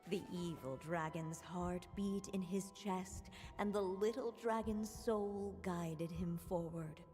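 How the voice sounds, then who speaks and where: A woman narrating a fairy-tale story in a game, calm and measured. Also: fairly low pitch, big-room sound, soft background music.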